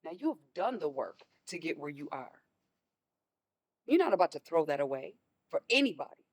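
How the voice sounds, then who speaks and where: A woman's voice: sits low, sing-song, moderate pace, husky, exaggerated voice. A woman playing a stern, protective mother in a stage play, scolding and pressing with pointed questions. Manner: forceful, scolding, rhetorical questions.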